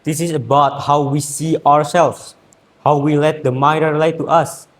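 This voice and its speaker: A man's voice: a little high, level tone. Earnest Indonesian teen boy giving a speech. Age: teens. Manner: earnest, rhetorical, with emphatic pauses. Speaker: student speech contestant. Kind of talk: memorized competition speech.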